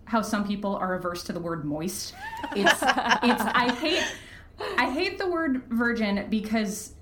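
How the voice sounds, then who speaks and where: A woman's voice: a little high, animated, unhurried, background noise. A woman talking candidly as an interview guest, earnest and emphatic in how she explains things. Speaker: podcast creator, interview guest. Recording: roomy, echoey sound.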